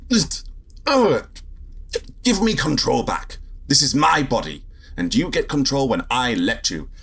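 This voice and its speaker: A man's voice: mid-range voice, unhurried, gravelly, breathy edge, full character voice. A man in his thirties playing a put-upon, grumbling character in an audio drama. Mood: indignant. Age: 30s. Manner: grumbling, animated. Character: put-upon, protesting man sharing his body with AIs.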